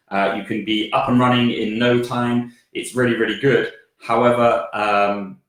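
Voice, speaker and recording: A man with a croaky voice answering viewer questions on a live stream, hesitant with lots of fillers and restarts. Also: on the low side, a bit bright. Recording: very echoey room.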